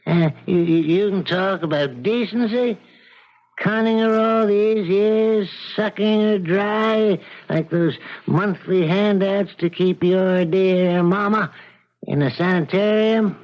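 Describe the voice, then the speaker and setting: An actor playing a scheming, ruthless blackmailer with a bullying, sneering manner in a 1970s radio mystery. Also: male voice, sits high, slow pace. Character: scheming, ruthless man, a blackmailing crooked buyer. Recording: dull 1970s radio broadcast.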